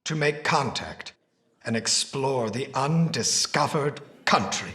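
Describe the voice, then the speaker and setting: A man's voice: medium pitch, expressive, slow, bright, forceful tone. An actor playing a driven, grandiose male scientist in an audio drama, speaking formally and emphatically. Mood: impassioned speech.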